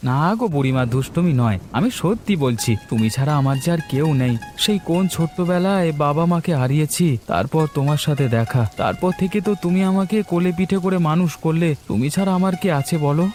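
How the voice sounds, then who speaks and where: A man's voice: on the high side, fast talker, hardly any pauses, darkish tone, soft background music, natural voice. A man voicing a poor, humble young milk boy in a cartoon story, earnest and pleading in manner. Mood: tender, earnest. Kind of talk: Bengali cartoon story voice acting.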